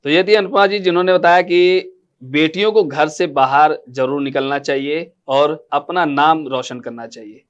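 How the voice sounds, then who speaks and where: A man hosts a news interview in a voice pitched a little high for a male. His delivery is formal, in the style of a news presenter putting questions to a guest. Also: normal speed, clear, resonant tone, muffled recording.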